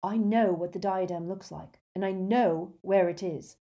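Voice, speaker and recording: A woman's voice: medium pitch, mellow, close to an everyday voice. A woman voicing a determined teenage boy hero who talks urgently. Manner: urgent, fast-talking.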